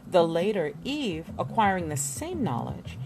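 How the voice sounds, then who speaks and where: A woman reading a history book aloud expressively, with theatrical emphasis and pauses. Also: on the low side, big pitch swings. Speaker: book reader. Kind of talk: expressive read-aloud of an illustrated history book, with spoken asides. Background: music.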